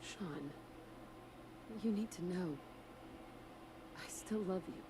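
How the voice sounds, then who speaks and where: An actress playing a woman survivor and grieving mother in a video game, soft and earnest. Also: lowish voice, slow pace, background noise. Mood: tender, earnest.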